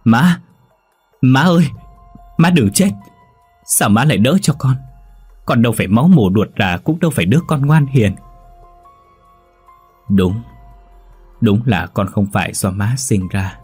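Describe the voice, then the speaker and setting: A man's voice: low voice, steady tone, takes it slowly, pauses often, darkish tone, slightly rough, soft background music, exaggerated voice. A man voicing a wailing, grief-struck young son. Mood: sobbing. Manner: pleading, sobbing cries. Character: young man, wayward son, desperate and grieving.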